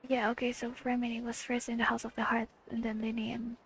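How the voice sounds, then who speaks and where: A woman giving casual gameplay commentary, thinking aloud in a halting, questioning way as she reacts to a story. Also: a little high, monotone, vocal fry, slight background noise. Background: faint game music.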